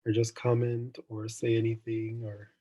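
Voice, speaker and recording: A man's voice: medium pitch, completely flat delivery, normal speed, background noise. A young man from Jamaica, hosting a casual online student discussion, thoughtful and meandering with frequent ums and restarts. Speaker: student event host.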